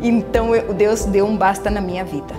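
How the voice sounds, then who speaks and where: A middle-aged woman from Argentina with an Argentine Spanish accent, whose native language is Spanish, telling her personal faith story in an earnest, halting way with restarts and repeated words. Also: mid-range voice, fairly even intonation. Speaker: church member giving testimony. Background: soft background music.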